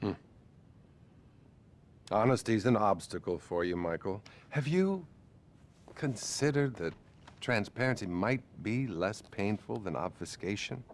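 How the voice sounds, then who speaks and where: An actor playing a calm, clinical psychiatrist who speaks in a measured, unflappable way, in a video game cutscene. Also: male voice, on the low side, slight background noise.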